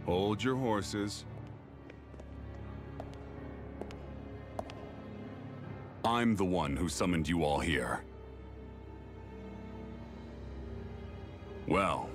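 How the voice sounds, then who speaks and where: An actor voicing a sly, gravel-voiced rebel man in a video game, talking in an unhurried, wry, teasing way as an estranged brother. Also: sits low, animated. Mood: wry amusement. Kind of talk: dramatic video game voice acting. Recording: game music under the dialogue.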